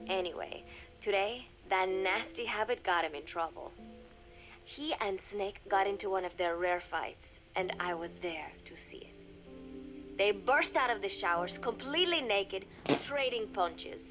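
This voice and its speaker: An actress playing a young secret agent confiding in a recorded diary, speaking in measured, formal phrases that often drop to a murmur, wistful and resigned. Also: female voice, mid-range voice, a bit bright, soft background music, close to an everyday voice, poor audio. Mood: wry. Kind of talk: scripted dramatic monologue, recorded diary.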